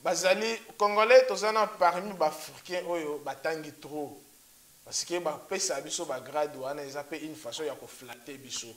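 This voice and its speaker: A man with a high voice argues his case with emphasis. His tone is bright and forceful, and he repeats rhetorical questions to drive his points home. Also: brisk, slight background noise. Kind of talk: political discussion.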